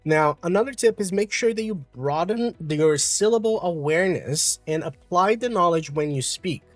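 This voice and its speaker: A man from Brazil with a Brazilian Portuguese accent, calmly explaining an English lesson with frequent fillers. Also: high-pitched, moderate pace, no room sound, faint music behind. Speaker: English teacher.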